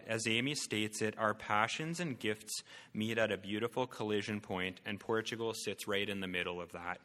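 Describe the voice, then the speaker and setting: A man presenting to a church about his family and calling, speaking haltingly with many fillers and restarts. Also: lowish voice, fairly bright tone, in a large hall. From Canada.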